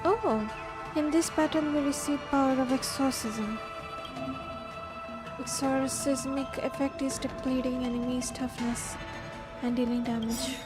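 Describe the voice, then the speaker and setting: High-pitched Indian woman streaming over loud music. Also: steady tone, measured pace. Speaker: game streamer. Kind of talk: casual live gameplay chat.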